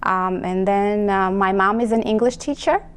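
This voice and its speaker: A woman from Moldova whose first language is Romanian, answering interview questions about her home care company, pausing often with ums and restarts. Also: mid-range voice, fairly even intonation, clear, resonant tone. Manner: hesitant, many ums and restarts.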